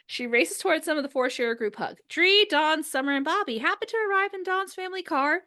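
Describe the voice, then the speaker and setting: A woman's voice: sits high, brisk, sharp tone. A woman narrating scripted stage directions for an audio drama.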